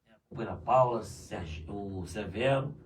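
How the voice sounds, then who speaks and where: A hoarse man chatting loosely on a live union show, tagging his sentences with "né?". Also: on the low side, moderate pace. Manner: loose and chatty, many "né?" tags. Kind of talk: casual live chat answering viewers. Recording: distant mic with faint room noise.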